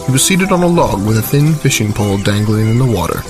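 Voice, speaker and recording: Low-voiced male storyteller over music. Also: expressive, fast. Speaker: narrator. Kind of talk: scripted storytelling narration. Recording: loud music behind the voice.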